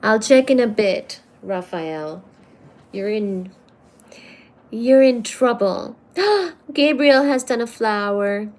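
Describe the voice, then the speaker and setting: Slow, playful woman with a higher voice. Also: expressive, a little mellow. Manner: playful and teasing, calls viewers darling. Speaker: livestream host. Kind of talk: casual livestream chat reading viewer comments.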